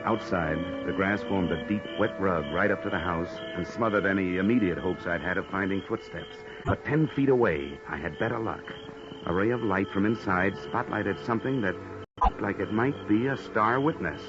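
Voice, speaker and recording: A male actor plays a hard-boiled American private investigator with a low voice and a deadpan, wisecracking edge. He sounds like old radio noir, in a dull lo-fi recording with music playing behind. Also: moderate pace, lightly acted. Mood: wry, tense narration. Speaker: actor narrating and playing a detective.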